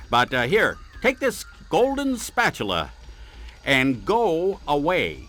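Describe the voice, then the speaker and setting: A man voicing a weary, aging superhero sidekick in a cartoon game, halting and dismissive. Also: a little high, wildly swooping pitch, pushed and bright, full character voice. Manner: awkward, halting, brush-off tone. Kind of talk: cartoon game character acting.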